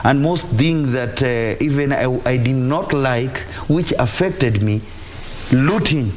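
A man's voice: medium pitch, slow, echoey room, a little hiss or hum. A man with a Kenyan accent, a religious leader giving impassioned, repetitive, exhorting answers in a TV interview. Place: TV studio. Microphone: lapel mic.

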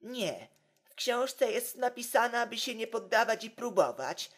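Woman playing a slow, meek young male loser. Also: fairly high pitch. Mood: dejected. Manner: unconvincing, flat, slow delivery. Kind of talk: amateur comedic audio drama acting.

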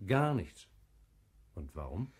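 An actor playing a proud, magnanimous, impulsive sultan in a classical radio drama, with a husky, commanding, emotional delivery. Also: male voice, low voice, sing-song, slow, heavily acted. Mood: reserved, embarrassed. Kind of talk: classical German stage radio drama acting.

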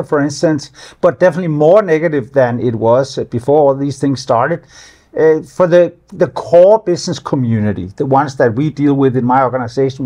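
A middle-aged Danish man with a Danish accent speaks a little higher than most men. He answers questions thoughtfully, stumbling and repeating words as he goes. The sound is close lapel mic in a studio. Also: darkish tone. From Denmark. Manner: halting, repeats words while thinking. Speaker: business association director, guest. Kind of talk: measured interview answers. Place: studio with city-view windows.

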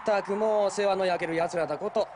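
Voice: medium pitch, fairly even intonation, a little fast, heavy background noise, natural voice. An actor voicing an elegant, haughty young warrior in an anime, mocking and theatrical. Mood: scornful. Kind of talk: anime voice acting. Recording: loud music behind.

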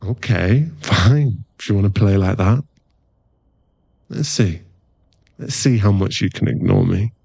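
A laddish werewolf boyfriend played by a man in an ASMR roleplay, teasing and affectionate. His voice sits on the low side and takes its time, recorded close and dry. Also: measured pace, creaky, pauses often, darkish tone, husky, dry recording, full character voice. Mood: playful teasing. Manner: casual, laddish, teasing, drops into murmured asides.

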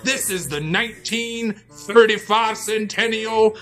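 A man's voice: sits high, a little slow, fairly bright tone. An American man announcing scenes theatrically as a performer in a live improv radio show.

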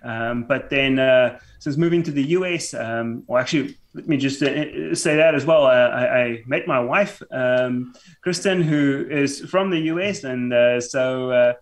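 South african guy in his 30s, afrikaans is his first language, keeps stumbling and restarting, podcast guest on a call from home. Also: mid-range voice. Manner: halting, stammering restarts. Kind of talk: casual podcast interview. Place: home room, remote call. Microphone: headphones and podcast mic.

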